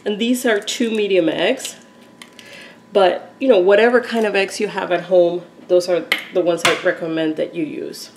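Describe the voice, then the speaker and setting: A woman's voice: fairly low pitch, fairly even intonation, moderate pace, background noise. A woman from Argentina talking through a recipe step by step as she cooks in her home kitchen. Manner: chatty, explains steps as she cooks. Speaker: cooking vlogger. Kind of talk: casual cooking demonstration.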